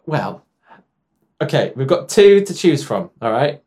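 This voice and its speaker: A man's voice: medium pitch, very animated, a little slow, darkish tone. Joking man hosting a podcast. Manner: joking, self-deprecating, trailing off. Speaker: podcast host. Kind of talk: casual comedic chat.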